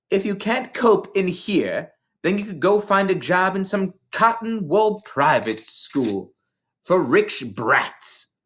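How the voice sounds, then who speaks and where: A reader voicing a bullying, contemptuous older headmistress from a storybook, scolding with heavy, drawn-out emphasis. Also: mid-range voice, a bit bright, pitched above the performer's own voice. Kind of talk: storybook character voice in a read-aloud.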